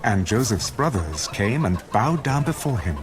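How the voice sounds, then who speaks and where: Low, expressive male scripture narrator. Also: normal speed. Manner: solemn storytelling. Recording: loud music bed.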